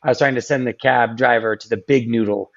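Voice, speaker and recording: A man's voice: mid-range voice, fairly even intonation, brisk. Guy rambling thru a video call interview, lots of ums, muffled lo-fi sound. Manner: rambling, many ums and restarts. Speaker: guest. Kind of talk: casual interview chat.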